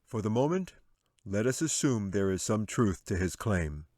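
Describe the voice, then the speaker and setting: A solemn guardian angel from a fantasy storybook, played by a man, speaking with calm, measured formality. The voice is very low and reassuring, recorded close and dry. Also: no echo. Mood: probing. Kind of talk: fantasy audiobook character acting.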